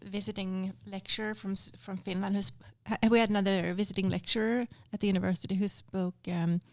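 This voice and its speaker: A woman who teaches Swedish at a university, talking in a relaxed chat and asking questions. Her voice has a lot of gravelly fry, recorded close and dry. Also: medium pitch, a little fast, no room sound. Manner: asks questions, trails off. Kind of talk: casual chat.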